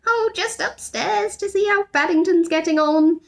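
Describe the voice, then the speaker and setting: A woman voicing an excitable, caring but bossy young girl in a storybook, urgent and exclamatory. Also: very high voice, normal speed, vocal fry, voice pushed up. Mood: startled.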